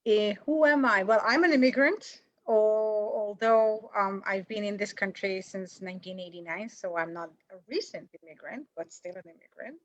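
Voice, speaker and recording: A woman's voice: mid-range voice, moderate pace, hardly any pauses, no echo, highs cut off. A woman in her forties with a Hungarian accent, talking as a guest in an interview, chatty and self-deprecating, with lots of fillers and restarts. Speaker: guest, paralegal. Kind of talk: interview over video call.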